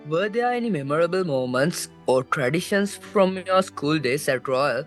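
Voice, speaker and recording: Young Sri student host, higher-pitched, polite and scripted. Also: male voice, animated, moderate pace, faint music behind. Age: young adult. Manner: polite, addresses guest as sir. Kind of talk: scripted interview questions.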